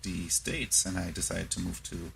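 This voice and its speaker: Deep, gravelly man with an Austrian accent. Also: monotone, fairly bright tone, slight background noise. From born in the US South, raised in Austria. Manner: halting, reflective, many fillers and restarts. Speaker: podcast guest. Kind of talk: casual conversation.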